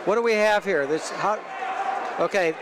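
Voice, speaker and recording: A man's voice: sits high, normal speed. A man doing live radio play-by-play of wrestling in a conversational way. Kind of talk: live sports commentary. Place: indoor sports arena, matside. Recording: noisy live arena with crowd behind. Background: crowd noise and gym bustle.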